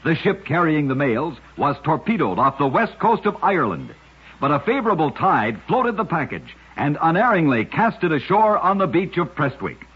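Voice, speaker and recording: A man hosting an old-time radio program, narrating short scripted oddities in a husky, measured, dramatic announcer's delivery. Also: on the high side, big pitch swings. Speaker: radio host and storyteller. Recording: muffled, dull old radio recording.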